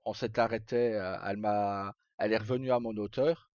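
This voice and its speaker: A man live streaming, casually telling roleplay stories in a rambling, halting way with pauses mid-sentence. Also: fairly low pitch, fairly even intonation, darkish tone. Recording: slightly muffled, roomy, faint music. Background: background music.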